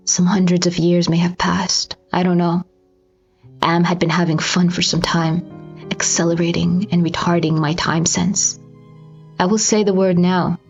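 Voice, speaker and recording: A woman's voice: on the high side, fairly bright tone, dull audio. A woman voicing a tormented, despairing lone survivor in a dramatic storybook monologue, anguished and swinging between whispers and cries. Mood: broken, hushed.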